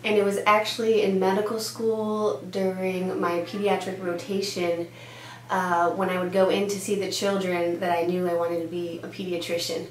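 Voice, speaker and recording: A woman's voice: fairly low pitch, little pitch movement, moderate pace. A woman in her thirties, born in Denmark with Danish as her first language, chatting casually as a pediatrician answering interview questions, with lots of "uh" and "um". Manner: chatty, with frequent "uh" and "um" fillers. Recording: echoey room with faint hum.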